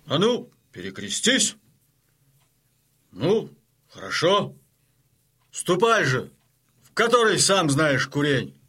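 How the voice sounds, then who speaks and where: A husky, bright-edged Cossack chieftain in a radio drama. He speaks slowly and with weight, stern and hearty, as a man used to command. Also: a little high, sing-song, exaggerated voice. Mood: stern, ceremonious. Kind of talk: audio drama acting.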